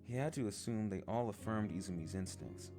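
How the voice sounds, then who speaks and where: A man reading a novel aloud as a storyteller, expressive and shifting voices for the characters. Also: fairly low pitch, fairly quick. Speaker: audiobook reader. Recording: guitar music behind the voice. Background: guitar music.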